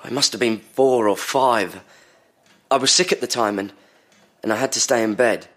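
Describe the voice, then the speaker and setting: An actor playing a troubled, polite patient in an audio drama, halting and repeating words as he recalls. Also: mid-range voice, fairly bright tone, natural voice. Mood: haunted, hesitant. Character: troubled, polite male patient recounting dreams to analyst.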